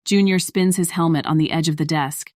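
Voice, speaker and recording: Female narrator, deep register, scripted fiction read, dry close recording. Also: a little fast, darkish tone, dry recording.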